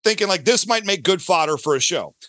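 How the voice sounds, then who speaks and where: A man's voice: sits high, animated, brisk, sharp tone, dry recording, studio quality. A middle-aged man chatting casually as a podcast guest, rambling and emphatic in an enthusiastic, gushing way. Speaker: podcast guest, audio drama creator. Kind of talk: casual, rambling interview chat.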